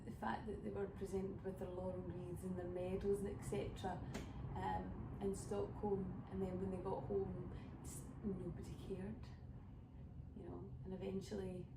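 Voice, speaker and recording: A young Scottish woman talks through her set in a relaxed, rambling way, filling her sentences with ums and asides. Her voice has a gravelly edge. She sits back from the mic in an echoey room, with steady noise underneath. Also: medium pitch, normal speed. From west coast of Scotland. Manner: chatty, rambling storyteller with many fillers. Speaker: folk singer introducing her songs. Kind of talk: casual spoken introduction to an online showcase. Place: home room streaming online. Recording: roomy, distant mic with background noise.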